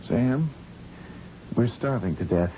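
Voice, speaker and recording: A man's voice: animated, slow, mellow. An actor playing a weary, grim male scientist in a radio drama, with a blunt, resigned manner. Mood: grim resignation. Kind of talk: 1970s-style radio drama acting. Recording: muffled, noisy old radio broadcast with rumble.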